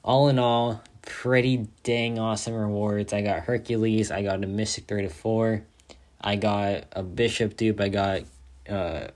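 A young man with a slightly low voice gone raspy and hoarse from hours of talking. He chats casually and excitedly about his game rewards, rambling with lots of filler words. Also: unhurried. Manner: excited, rambling, says "like" often. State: hoarse, tired from a long day of talking. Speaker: mobile game content creator. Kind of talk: casual gameplay commentary.

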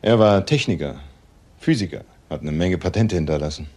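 An actor playing a guarded, evasive male ex-convict in a crime drama, with a husky voice and hesitant, curt answers. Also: sits low, expressive, moderate pace, darkish tone.

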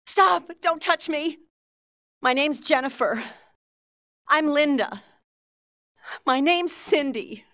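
A frightened young woman hostage in a video game. Her voice is high, slow and defensive. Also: lively intonation, fairly bright tone, exaggerated voice. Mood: guarded.

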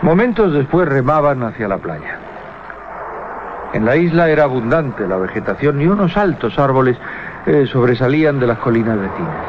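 A man narrating a radio drama, telling the story with deliberate, halting suspense. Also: mid-range voice, big pitch swings, background noise. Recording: muffled 1980s radio recording with music. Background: music.

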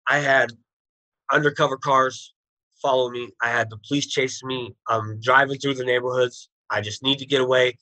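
A man's voice: mid-range voice, fairly even intonation, moderate pace, sharp tone, no room sound, low-quality recording. A man in his thirties, a guest on a video call, bluntly recounting his past in plain street slang. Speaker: interview guest. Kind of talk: telling his life story.

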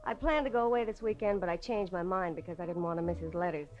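A woman's voice: lowish voice, fast talker, a little mellow, lo-fi sound, close to an everyday voice. An American actress playing a tough, shrewd, guarded businesswoman in a late-1940s radio noir drama. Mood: confiding. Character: tough, shrewd woman silk merchant, a femme fatale client. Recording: vintage radio drama, faint music behind.

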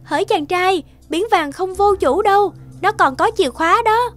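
Woman voicing a high, sweet young moon fairy. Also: moderate pace. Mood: gracious welcome. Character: gentle, gracious young female moon fairy. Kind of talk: children's animated fairy tale.

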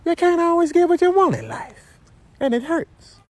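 A man's voice: very high-pitched, very animated, normal speed, a little mellow, dry recording. A man voicing a greedy, coaxing pelican with slangy talk in a comic animal voice-over. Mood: shameless. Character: greedy, smooth-talking pelican eager to eat capybaras.

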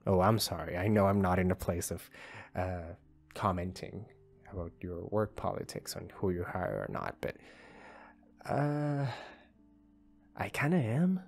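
A man acts out a bashful young male employee with a crush in an ASMR roleplay. The voice is low and very unhurried, soft and hesitant, full of apology. Guitar music plays behind it. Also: vocal fry, full character voice. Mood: earnest, shy. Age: young adult. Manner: hesitant, soft-spoken, halting. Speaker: voice actor. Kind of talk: ASMR roleplay, intimate one-sided conversation.

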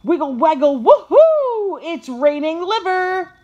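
Woman voicing an excitable puppy, swooping and lively. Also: medium pitch, unhurried, slightly rough, lo-fi sound. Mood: excited, gleeful. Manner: gushing, exclamatory, childlike. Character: excitable, food-crazed young male puppy telling his own story. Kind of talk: first-person children's storybook narration.

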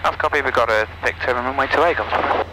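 A man's voice: mid-range voice, fast. A young man piloting a small plane, making clipped radio calls over an aviation headset. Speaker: private pilot. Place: inside a small aircraft in flight. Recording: noisy light aircraft cockpit intercom. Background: engine and radio noise.

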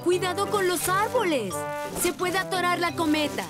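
A woman's voice: very high voice, moderate pace, over loud music. A woman voicing a caring, sensible mother pig in a children's cartoon dub. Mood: warning.

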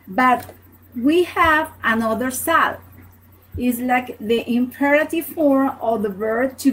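A middle-aged woman from Venezuela with a Venezuelan Spanish accent, a native Spanish speaker teaching an online language lesson in a halting, hesitant way. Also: sits high, rough recording. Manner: halting, hesitant, repeats words. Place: small home office, indoors. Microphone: computer webcam at desk distance.

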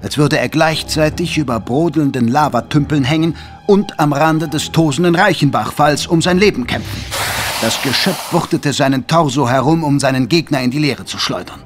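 A man's voice: fairly high pitch, moderate pace. A man narrating an audio drama in a dramatic, scripted storytelling style. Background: dramatic music.

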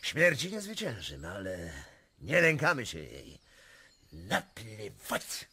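An actor playing a volatile, mocking Russian prince in a radio drama, theatrical, grandiose and fatalistic. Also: male voice, on the low side, wildly swooping pitch, slow pace, bright, forceful tone, husky, dry recording, exaggerated voice. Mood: boastful, taunting. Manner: theatrical, swinging from boasts to grave confessions.

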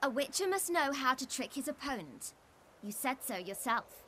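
Game casting: pert little girl warrior-in-training, very animated, high-pitched, quick to argue. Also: slight background noise, exaggerated voice. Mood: cheeky, grumbling. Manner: argumentative, pert. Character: cheeky young girl, witcher apprentice, clever and impatient. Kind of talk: video game acting.

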